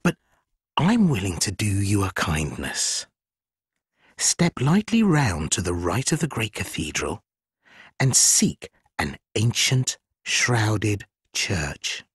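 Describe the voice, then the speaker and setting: Wildly swooping, husky, sly spider creature. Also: male voice, on the low side, very animated, slow pace, dry recording, clean studio sound, heavily acted. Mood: sly, conspiratorial. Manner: archaic, theatrical, mock-obsequious phrasing. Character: sly, mocking, fawning giant spider with human head. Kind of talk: dark fantasy video game character acting.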